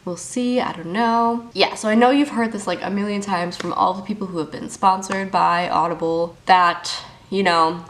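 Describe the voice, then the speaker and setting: Young woman vlogging about books, talks fast and chatty, bit stuffed up from being sick. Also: lowish voice, creaky, slight background noise. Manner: chatty, lots of "like" fillers, upbeat. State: sick and sniffly, blocked ears. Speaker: book vlogger. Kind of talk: casual chat to camera.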